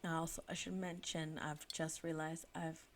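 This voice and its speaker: A woman's voice: low voice, level tone, normal speed, slight background noise. A woman in her thirties, a little sniffly from a cold, chatting casually and laughing now and then as she narrates. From United Kingdom. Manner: chatty, laughs often, self-deprecating. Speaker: planner vlogger.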